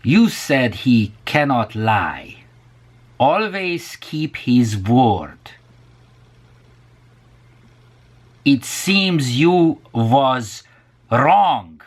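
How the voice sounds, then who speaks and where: A man acts out a blunt Hungarian man speaking broken English. The delivery is slow and clipped, with a Hungarian accent. The pitch is raised and swings insistently from phrase to phrase. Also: sing-song, slightly rough, exaggerated voice. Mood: blunt, accusing.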